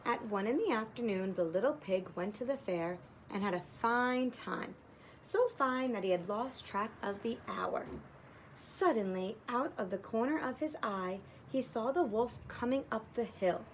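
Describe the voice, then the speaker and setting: High-voiced woman teacher reading a storybook. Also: animated, unhurried, slight background noise, rough recording. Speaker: teacher reading aloud to pupils. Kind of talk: expressive storybook narration with plain asides.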